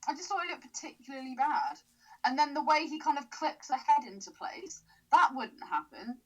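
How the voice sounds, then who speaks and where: A woman's voice: high voice, lively intonation, normal speed, poor audio. A young English woman co-hosting a podcast, talking bluntly and swearing freely in casual chat. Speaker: podcast co-host.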